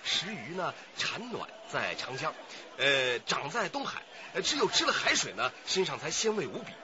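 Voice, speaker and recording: An eager, ingratiating restaurant waiter in a radio drama. His patter is highish and extremely animated, over clear background noise. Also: normal speed. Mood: eager, flattering. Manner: ingratiating, sing-song sales patter. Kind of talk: Chinese radio drama acting. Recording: lo-fi audio with loud background noise.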